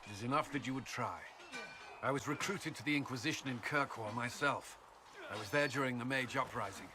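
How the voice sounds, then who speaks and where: A man's voice: medium pitch, brisk, a crowd in the background, lightly acted. A man playing an earnest, commanding military officer, firm and formal, in a fantasy game. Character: earnest, commanding male military officer and former holy knight. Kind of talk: dramatic fantasy game acting. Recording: clear background noise and ambience.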